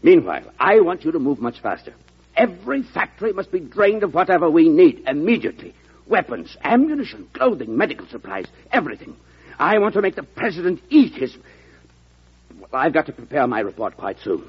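Raspy, pompous war secretary. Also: male voice, on the high side, very animated, fairly quick, heavily acted. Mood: imperious, defensive. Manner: pompous, imperious. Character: vain, bossy, defensive war secretary, pompous middle-aged man. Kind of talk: old-time radio drama acting. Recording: dull old radio broadcast recording.

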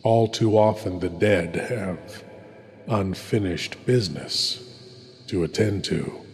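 A man's voice: sits low, darkish tone, soft background music. A man playing a sinister, courtly horror host lord, introducing radio tales in a pompous, ominous, theatrical manner. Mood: grave, ominous. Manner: pompous, ominous, drawn-out delivery. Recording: long cavernous reverb, some noise.

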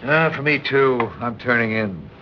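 A man's voice: mid-range voice, unhurried, lightly acted. Man as a level-headed navy officer, muffled old radio. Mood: calm, reassuring. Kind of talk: 1960s radio drama acting. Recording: muffled, noisy vintage radio recording.

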